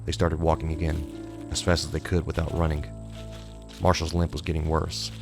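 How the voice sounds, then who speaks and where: A man narrates horror fiction in a very low voice. The delivery is scripted and suspenseful, with little movement in pitch, and music plays clearly underneath. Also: completely flat delivery, moderate pace.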